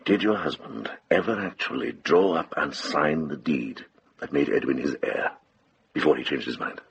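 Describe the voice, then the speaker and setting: An actor playing an observant, kindly older monk in a radio drama, speaking in a gentle, measured and probing manner. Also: male voice, rumbling low, a little hiss or hum. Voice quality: gravelly, husky.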